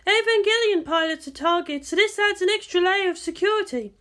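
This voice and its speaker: A woman's voice: very high-pitched, brisk, fairly bright tone, pitched above the performer's own voice. A woman voicing an exasperated, bossy but friendly female guardian in a story, with emphatic, insistent delivery.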